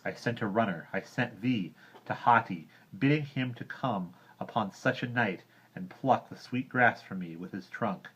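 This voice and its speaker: A man's voice: medium pitch, steady tone, normal speed, voice pushed up, lightly acted. A man voicing a proud, hot-tempered young jungle boy, speaking raised and indignant. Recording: dull lo-fi recording.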